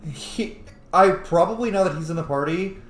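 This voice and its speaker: A man's voice: high-pitched, moderate pace, a bit bright, echoey room, soft background music. A man giving casual, jokey gameplay commentary, swearing freely and talking back to the screen. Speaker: game streamer, let's player.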